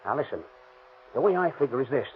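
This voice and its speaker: A man's voice: mid-range voice, lively intonation, fast, close to an everyday voice. An actor playing a ruthless, bossy gangster in 1930s radio crime acting, talking American tough-guy slang. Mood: cool, scheming. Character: ruthless, cocky gangster planning and bossing a robbery. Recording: scratchy, noisy, narrow-band 1930s radio.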